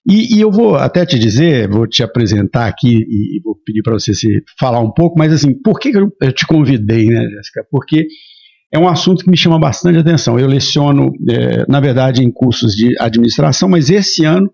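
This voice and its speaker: Male podcast host, middle-aged, creaky mid-pitched voice, chatty interviewing, slightly dull audio. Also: lively intonation, brisk, a little mellow. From Minas Gerais, Brazil. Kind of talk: casual interview chat.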